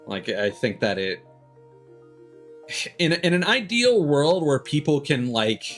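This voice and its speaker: A man's voice: a little high, very animated, slow, soft background music. A man doing casual, rambling livestream chat as a game streamer, with chuckles here and there.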